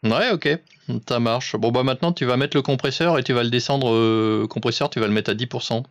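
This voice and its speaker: A man's voice: medium pitch, a little fast. A man coaching another amateur radio operator through settings in a casual, instructive way. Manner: informal, instructive. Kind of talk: casual technical chat over amateur radio.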